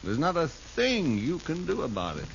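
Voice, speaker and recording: An actor playing a smug, menacing gambler and saloon boss in a 1950s western radio drama. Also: male voice, fairly high pitch, huge pitch swings, normal speed, creaky, loud music behind the voice, full character voice. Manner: smug, threatening. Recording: dull, noisy vintage radio recording.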